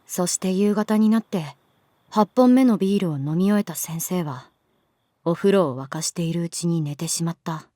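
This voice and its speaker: A woman's voice: fairly low pitch, normal speed, dark tone, dry recording, studio quality, natural voice. Actress as sulky, guarded teenage schoolgirl. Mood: quiet narration. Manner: curt, defensive, hesitant replies. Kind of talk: Japanese voice drama acting.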